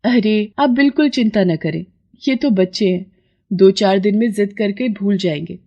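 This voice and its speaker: Woman acting mellow, soothing village wife. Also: medium pitch, moderate pace, lo-fi sound, natural voice. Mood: reassuring. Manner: soothing, gentle. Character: poor kind village wife, patient and reassuring.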